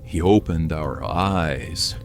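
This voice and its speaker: Weary, philosophical medieval French warrior, acted by a man; deep, unhurried, deliberate delivery for audio fiction. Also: faint music behind, full character voice. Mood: philosophical, reflective. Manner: slow, deliberate, reflective.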